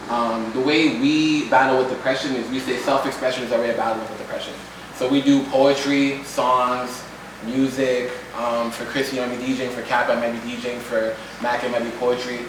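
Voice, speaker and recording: A young man moderating a live community event, talking casually and encouragingly to the crowd with some slang. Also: mid-range voice. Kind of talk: casual talk to a live audience. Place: event room with an audience. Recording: echoey room, distant mic, noisy.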